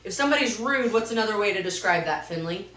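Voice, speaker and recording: A woman's voice: mid-range voice, lively intonation, quick pace, a bit bright, slight background noise. A woman teacher with an encouraging, questioning manner, leading an interactive read-aloud lesson for children. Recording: echoey room, distant mic.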